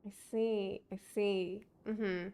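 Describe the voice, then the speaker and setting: A woman game streamer casually thinking aloud in a halting, puzzled way as she plays. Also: medium pitch, steady tone, dark tone, clear, resonant tone. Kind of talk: casual live playthrough commentary and dialogue reading. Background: video game music.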